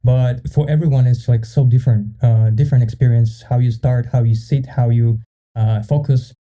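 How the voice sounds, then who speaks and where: Mellow-voiced male podcast host, thirties. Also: mid-range voice, normal speed. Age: 30s. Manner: relaxed, frequent short affirmations. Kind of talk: casual interview.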